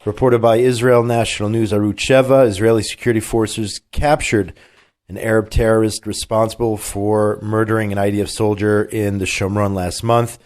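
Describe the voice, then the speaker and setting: A man's voice: lowish voice, level tone, normal speed, a little mellow. A man with a cold hosting a talk radio show, chatting casually and reading the news with plenty of fillers and restarts. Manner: conversational, opinionated, with many fillers and restarts. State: has a cold.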